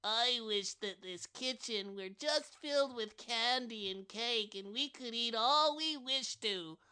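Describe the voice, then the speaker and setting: A man reading a storybook voices two young children making wishes over a wishbone, with a raised childlike pitch. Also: slow, bright, forceful tone, smooth, heavily acted. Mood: eager. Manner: raised childlike pitch, eager then let down.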